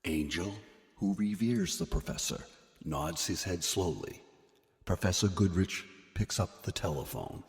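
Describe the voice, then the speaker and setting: A man's voice: low-pitched, expressive, unhurried. A man giving ominous, theatrical scripted narration for a horror drama. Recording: long echoing reverb, music behind.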